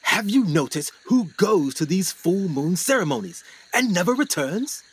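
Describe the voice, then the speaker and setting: An actor playing a haunted, educated explorer doctor in a radio drama, speaking formally and theatrically with grave anxiety. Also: male voice, high-pitched, sing-song, normal speed, a little hiss or hum. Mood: urgent, ominous. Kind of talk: dramatic 1920s adventure radio acting.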